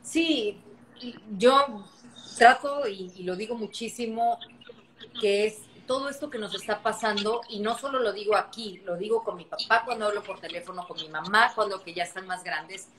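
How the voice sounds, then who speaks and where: A woman's voice: mid-range voice, normal speed, rough recording. A Mexican woman in her thirties speaks earnestly over a phone video call from a living room, stopping and restarting her sentences as she talks them through. Her hands move as she speaks. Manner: earnest, animated hand gestures, many restarts. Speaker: actress hosting an Instagram live. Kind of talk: casual video call chat.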